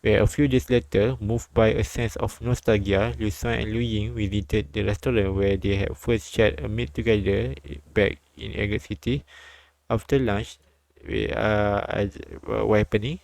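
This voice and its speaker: Low-voiced man reading haltingly on stream. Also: fairly even intonation, vocal fry, a little mellow, no echo. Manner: halting, stumbles over words. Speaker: live streamer. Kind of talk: reading a visual novel aloud with casual comments. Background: light game music.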